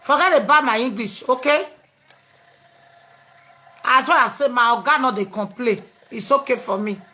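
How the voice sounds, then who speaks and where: High-voiced Nigerian woman hosting live. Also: moderate pace, bright, forceful tone. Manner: mixes pidgin, English and local languages, laughing easily. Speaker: host of an online live program. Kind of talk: casual live chat with callers.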